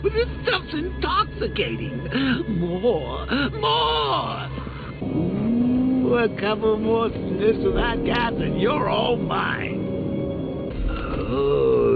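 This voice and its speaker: A man's voice: very high voice, creaky, full character voice. An actor playing a sadistic, cackling male clown villain who taunts the hero with theatrical mockery in video game voice lines. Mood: taunting. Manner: mocking, theatrical, sing-song taunts and cackles. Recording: tinny lo-fi game audio with loud noise.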